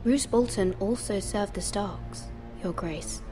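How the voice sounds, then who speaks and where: An actress playing a dutiful young handmaiden of noble birth in a game drama, guarded, hesitant and deferential. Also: female voice, medium pitch, takes it slowly, music in the background, natural voice. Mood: careful, quietly defiant.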